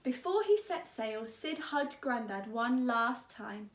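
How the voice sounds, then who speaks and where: A woman teacher reading a children's story aloud, expressively and deliberately, drawing out words. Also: on the high side, sing-song, echoey room, a little hiss or hum.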